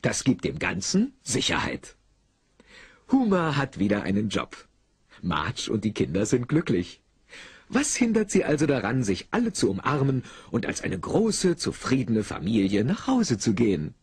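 A man's voice: mid-range voice, wildly swooping pitch, normal speed, slightly rough, dry recording. A man narrating an audio play with dramatic, theatrical storytelling. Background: music.

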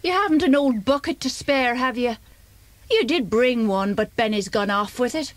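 A woman's voice: a little high, moderate pace, no echo, natural voice. An actress playing a fond, chatty, protective mother in a children's audio drama.

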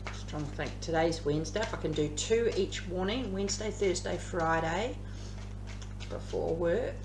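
A woman in her fifties with an Australian accent and a slightly croaky voice, chatting her way through a tarot card reading at home, rambling with plenty of ums. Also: fairly low pitch, normal speed. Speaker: tarot reader. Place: living room at home. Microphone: camera across the table. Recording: echoey room with background hum.

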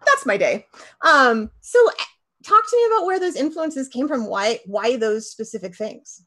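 Chatty host on a video call. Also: female voice, on the high side, big pitch swings, moderate pace, bright, forceful tone. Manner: chatty, rambling with many fillers. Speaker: podcast host. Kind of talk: casual livestream interview.

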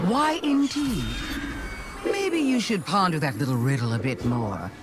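A woman voicing a sly, taunting alien witch queen in a video game, with grand, drawn-out, mocking delivery. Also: fairly low pitch, sing-song, background noise. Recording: loud music behind the voice.

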